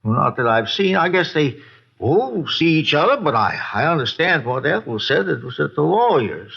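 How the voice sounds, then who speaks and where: A man's voice: on the high side, moderate pace, gravelly, husky, heavily acted. An actor playing a folksy, boozy older American man who rambles and repeats himself in an old radio drama. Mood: evasive, rambling. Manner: rambling, repetitive, folksy, excitable. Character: rambling, boozy, folksy male neighbor witness. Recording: dull, lo-fi old radio recording.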